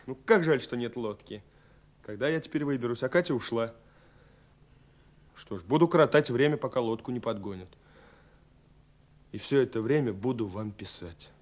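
A man's voice: mid-range voice, close to an everyday voice. An actor playing a romantic, dreamy young man who speaks his love letters in a lyrical, intimate radio play monologue. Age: young adult. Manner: lyrical, intimate, swinging between hushed and exclaiming. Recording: slightly husky-sounding 1979 radio recording. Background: soft music.

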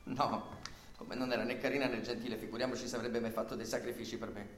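Guy acting a nervous polite young man in a radio play, keeps justifying himself, mid pitch, roomy echo. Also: level tone, quick pace, close to an everyday voice. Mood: flustered. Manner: hesitant, apologetic, nervously self-justifying. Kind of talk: theatrical radio drama acting. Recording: echoey room, faint noise.

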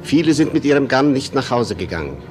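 A man's voice: mid-range voice, big pitch swings, fast, a little mellow. Male radio drama narrator over music.

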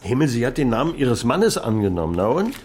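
A man's voice: mid-range voice, big pitch swings, fairly quick, a little mellow, slight background noise, natural voice. An actor playing an impatient male police investigator who asks blunt, brusque questions in a radio play.

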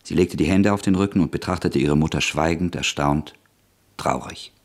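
A man's voice: very deep voice, moderate pace. A man narrating a literary radio drama from a script.

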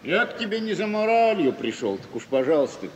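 An actor playing an idle, cynical, scheming gentleman in a wheedling, drawling 1950s Russian radio theatre manner. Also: high voice, sing-song, a little fast, lo-fi sound. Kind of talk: mid-century Russian radio theatre acting. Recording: distant, noisy old radio play, hall reverb.

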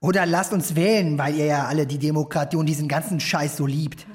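A man's voice: a little high, steady tone, fairly quick, lightly acted. A young man playing a bitter, provocative teenage schoolboy in a German radio drama, curt and confrontational.

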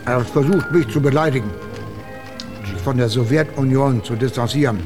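A man's voice: mid-range voice, moderate pace, music in the background, close to an everyday voice. A man playing a pompous, scheming Soviet leader in a German radio drama, speaking in a declamatory way. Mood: pompous, declaiming.

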